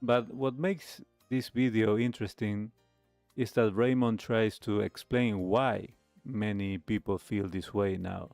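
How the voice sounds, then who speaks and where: A man with a fairly low voice hosts a talk show in a reflective way. He phrases things deliberately, sometimes halting and repeating a word. The sound is very dry, with music playing behind him. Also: fairly even intonation, gravelly, a little mellow, dry recording. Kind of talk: reflective commentary on a video.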